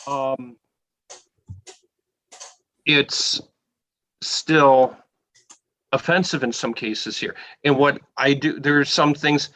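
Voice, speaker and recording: A man's voice: mid-range voice, bright, forceful tone. An American man giving an unscripted answer as a guest lecturer. He talks conversationally, often restarts his sentences and keeps checking in with "okay?". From United States.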